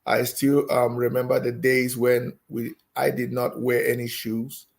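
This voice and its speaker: A man's voice: medium pitch, flat delivery, unhurried, creaky. A middle-aged man from Cameroon, a guest in an interview, telling his story with frequent hesitations and ums. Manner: hesitant, many ums and uhs.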